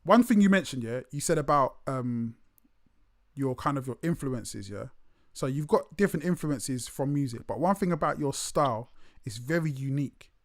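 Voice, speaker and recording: A man, interviewing a guest in a relaxed, animated way and repeating words as he talks. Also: mid-range voice, moderate pace, no echo, clean studio sound. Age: late 30s. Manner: animated, repeats words, lots of slang fillers. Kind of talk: casual interview chat.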